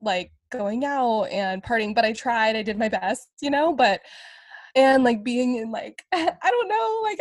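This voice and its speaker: A woman's voice: on the high side, no room sound, clean studio sound. Chatty young woman co-hosting a podcast. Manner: chatty, self-deprecating, lots of fillers. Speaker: podcast co-host. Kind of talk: casual chat.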